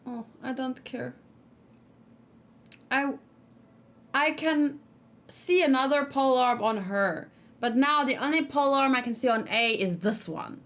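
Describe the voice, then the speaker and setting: A woman gaming streamer with a fairly high voice gives casual let's play commentary. She is chatty, thinking out loud in loose, informal sentences. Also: unhurried, pauses often. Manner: chatty, musing aloud.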